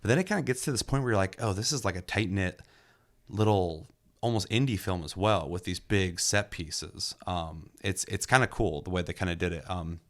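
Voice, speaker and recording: A young man casually reviewing a movie in a relaxed, enthusiastic way, with lots of fillers and the odd curse. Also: low-pitched, moderate pace. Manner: loose and enthusiastic, many fillers, occasional swearing. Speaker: movie reviewer, podcast host. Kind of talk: casual film review chat.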